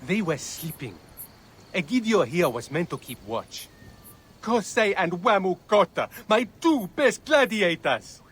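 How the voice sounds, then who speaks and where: An actor playing a scornful, blustering owner of gladiators in a video game, with an indignant, commanding manner. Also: male voice, high voice, big pitch swings, measured pace, fairly bright tone, husky, exaggerated voice. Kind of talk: dramatic game acting.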